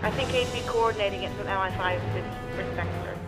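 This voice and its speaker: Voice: medium pitch, quick pace, lightly acted. Radio drama officer, acted: terse, businesslike reports to a superior, prominent music behind. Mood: urgent, terse.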